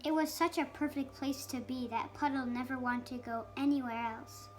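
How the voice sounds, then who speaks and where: High-voiced child reading a storybook. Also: steady tone, background noise. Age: child, about 7 or 8. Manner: halting beginner reading, stumbles on harder words. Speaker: young reader. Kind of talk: reading a picture book aloud.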